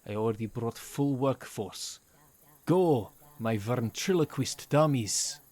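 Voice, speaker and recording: Stern Albanian-accented vampire professor. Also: male voice, mid-range voice, animated, slow, darkish tone. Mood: matter-of-fact. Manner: formal, pedantic, article-dropping speech. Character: stern, pedantic half-vampire male professor, dry and exasperated.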